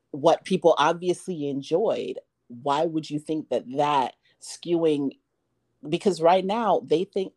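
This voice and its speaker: Woman, 30s, podcast co-host, casual chat, emphatic, low mellow voice. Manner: emphatic, rhetorical questions.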